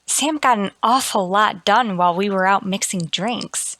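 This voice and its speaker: A woman's voice: medium pitch, measured pace, fairly bright tone, no echo, natural voice. A woman playing a brash, wisecracking friend in an old-time radio mystery. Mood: puzzled.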